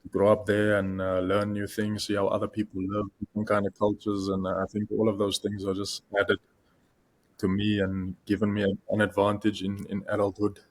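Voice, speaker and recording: A young man from South Africa speaks in a low, level voice as a podcast guest. He talks in a hesitant way, full of fillers and restarts. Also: completely flat delivery, a little fast. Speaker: guest, content creator. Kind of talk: podcast interview.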